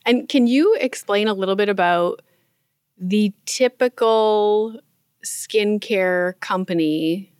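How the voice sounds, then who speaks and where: A woman's voice: mid-range voice, slow pace, a little mellow, clean tone, dry recording, clean studio sound. A woman hosting a podcast interview, asking curious questions in a light, friendly manner. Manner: curious, laughs easily. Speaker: podcast host.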